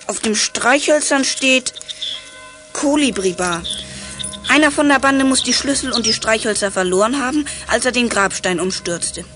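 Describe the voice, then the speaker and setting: A woman's voice: a little high, fairly bright tone. A woman playing a clever, determined boy detective in a German children's audio drama, speaking earnestly and decisively.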